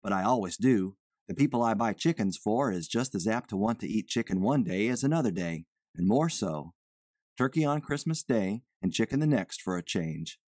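A woman's voice: very deep voice, lively intonation, normal speed, a little mellow, no echo, studio quality, voice pushed down. A woman voicing a nervous, evasive man who poses as a chicken buyer, with folksy, ungrammatical, rambling talk. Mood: uneasy, hedging.

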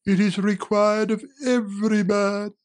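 A woman voicing the chained ghost of an old male business partner, slow and loud with drawn-out wailing delivery. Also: mid-range voice, little pitch movement, close to an everyday voice. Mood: mournful. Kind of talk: audiobook character reading.

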